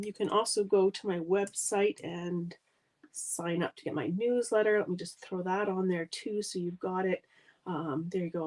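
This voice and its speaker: A middle-aged woman, nasal from a cold, hosting a live card-making demo at her craft table in a friendly, rambling way. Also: on the low side. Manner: friendly, rambling, gushes over paper. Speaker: stamping demonstrator hosting a live craft video.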